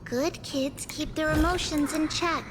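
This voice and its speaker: A woman's voice: sits high, steady tone, unhurried. A woman voicing an earnest, composed young girl in an anime game. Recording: loud background music.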